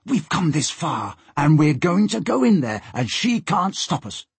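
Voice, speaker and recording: Man acting a bold, stubborn boy with husky voice. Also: mid-range voice, very animated, a little slow, darkish tone, no room sound, pitched above the performer's own voice, heavily acted. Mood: furious, defiant. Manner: firm, curt, defiant. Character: young orphan boy, stubborn, bold, secretive leader.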